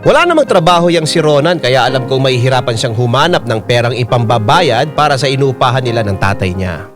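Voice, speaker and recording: An actor playing a devoted, stubborn Filipino lover narrating their own love story in a radio drama. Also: male voice, medium pitch, lively intonation, fast, lightly acted. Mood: devoted, determined. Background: loud piano and keyboard music.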